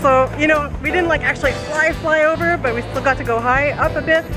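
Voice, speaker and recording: Female vlog host, high voice, upbeat casual chat, recorded outdoors in a noisy festival crowd. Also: brisk. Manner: chatty, upbeat, excited. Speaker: vlogger, channel host. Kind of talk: casual event vlog to camera. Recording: loud crowd and music behind.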